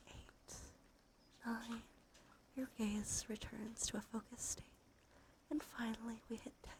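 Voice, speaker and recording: A woman giving an ASMR guided visualization in a slow, soothing, gently coaxing manner. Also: on the high side, flat delivery, a little hiss or hum. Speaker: ASMR streamer. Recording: music behind the voice. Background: music.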